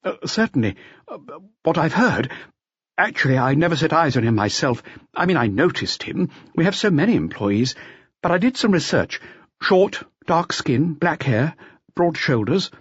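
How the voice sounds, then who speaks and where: A man's voice: on the high side, sing-song, moderate pace, husky, no echo, lo-fi sound, pitched above the performer's own voice. A man voicing an anxious, deferential hotel manager in an audiobook, hesitant and fussy, stammering as he pleads. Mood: nervous, obliging. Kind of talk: audiobook character voice.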